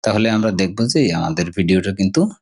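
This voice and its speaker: A man with a fairly low voice walks through software on screen. He explains casually, step by step, and often adds a short question to check that the listener follows. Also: lively intonation, fairly quick, breathy edge. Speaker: software tutorial host. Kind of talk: casual screen-share tutorial.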